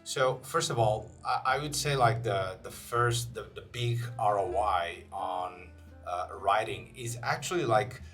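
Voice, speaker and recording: A man's voice: fairly low pitch, unhurried, fairly bright tone. A young man from Portugal with a Portuguese accent, talking casually to camera in English with many fillers and restarts. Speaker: channel host. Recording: faint guitar music behind. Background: faint guitar music.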